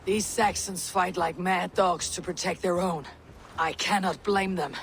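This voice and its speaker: A low female voice as a proud Viking warrior in a game. She speaks bluntly and sternly, with dry restraint and faint ambience behind her. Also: fairly even intonation, measured pace, slight background noise. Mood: measured. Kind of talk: video game cutscene acting.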